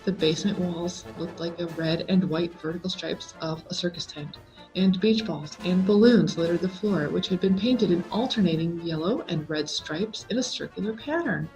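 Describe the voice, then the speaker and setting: A woman reading a scary story aloud as a dramatic, expressive scripted narration full of suspense. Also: lowish voice, moderate pace, faint music behind, poor audio. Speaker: story reader.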